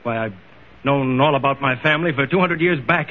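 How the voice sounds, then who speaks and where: An actor playing an agitated, overworked middle-aged shipbuilder in a dramatic 1940s radio style, anxious and impatient. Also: male voice, medium pitch, fairly quick, close to an everyday voice. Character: agitated, overworked wealthy shipbuilder, a man past forty. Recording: muffled, hissy old radio recording.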